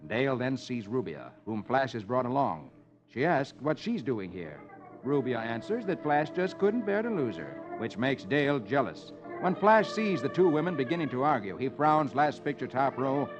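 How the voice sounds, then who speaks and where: An actor playing a jolly comics-reading friend to children, in a theatrical 1950s radio storytelling style. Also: male voice, mid-range voice, moderate pace, natural voice. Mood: dramatic storytelling. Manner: jolly, animated. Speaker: radio host and comics reader. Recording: lo-fi old radio, loud music behind.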